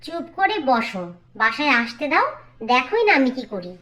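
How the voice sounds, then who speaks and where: A woman's voice: high-pitched, big pitch swings, normal speed, a bit bright. A woman voicing a spoiled, scheming young sister-in-law in a cartoon, demanding and whiny. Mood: scheming, confident. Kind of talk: Bengali cartoon acting.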